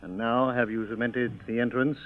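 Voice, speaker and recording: Actor as colonial governor on tinny old radio. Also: male voice, mid-range voice, steady tone, moderate pace, close to an everyday voice. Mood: formal, concerned. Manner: formal, courteous. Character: dignified British colonial governor, troubled but resolute. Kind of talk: old-time radio drama acting. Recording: tinny old narrow-band radio recording.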